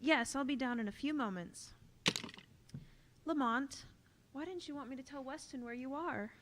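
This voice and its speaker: A woman's voice: high voice, moderate pace, natural voice. A woman playing a poised, polite, concerned heroine in a 1930s radio drama, speaking earnestly. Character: poised, concerned young lady companion of a vigilante. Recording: noticeable noise and clicks.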